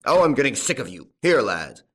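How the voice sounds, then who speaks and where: A man's voice: on the low side, animated, moderate pace. A man voicing an angry, domineering farmer in an audiobook, with a growling, bullying delivery. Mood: impatient, growling. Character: angry domineering male farmer demanding his stolen money. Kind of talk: audiobook character acting.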